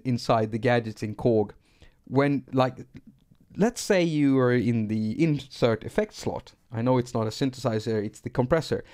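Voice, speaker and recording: A man's voice: medium pitch, a little mellow. Chatty Swedish man with gray hair. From Sweden. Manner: rambling, self-deprecating, repeats words when restarting. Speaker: music producer teaching synthesis. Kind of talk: live stream tutorial.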